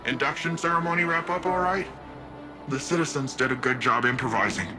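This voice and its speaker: An actor playing a brash, restless young warrior in a video game, talking in an upbeat, cocky, joking way over loud game music. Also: male voice, mid-range voice, natural voice. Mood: eager. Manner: upbeat, cocky, quick to joke.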